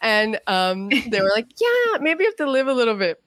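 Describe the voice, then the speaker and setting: A middle-aged American woman telling anecdotes as a guest in a casual interview, chatty and quick to act out dialogue. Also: on the high side, very animated, fairly bright tone, no echo, studio quality.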